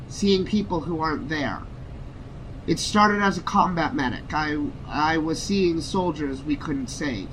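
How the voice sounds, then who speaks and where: Guy acting young grieving combat medic in an audio drama, high voice, emotional and confessional, bit of background noise. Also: fairly bright tone, low-quality recording. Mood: hesitant confession. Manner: emotional, confiding. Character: grieving young man, former combat medic, haunted by visions.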